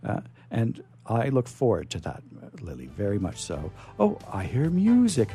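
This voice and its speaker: A man hosting a live radio interview, chatting in a halting way and often repeating words as he goes. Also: mid-range voice, wildly swooping pitch, dark tone, faint music behind. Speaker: radio show host.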